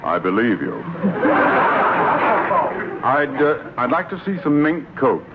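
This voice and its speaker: A man playing a hearty, blustering older American gentleman in vintage radio comedy style. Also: mid-range voice, very animated, slow. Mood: insistent. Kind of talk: 1940s-50s radio situation comedy acting. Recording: tinny, very noisy old radio recording.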